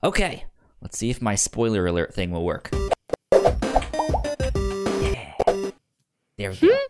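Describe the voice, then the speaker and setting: A man's voice: lowish voice, big pitch swings, slow, over loud music. A man hosting a podcast in casual, informal chat, repeating words for emphasis. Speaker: podcast host.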